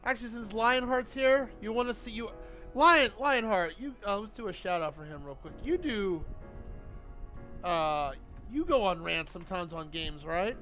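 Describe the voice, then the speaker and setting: A man's voice: high voice, animated, normal speed, sharp tone. A man live streaming a game, chatting in an informal, rambling, sweary way. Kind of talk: casual chat while gaming. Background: video game music.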